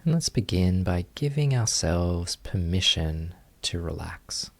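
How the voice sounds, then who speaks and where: A man with a deep, low-pitched voice teaches a guided meditation. He speaks very slowly and gently, leaving long pauses between short phrases of instruction. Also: expressive, vocal fry.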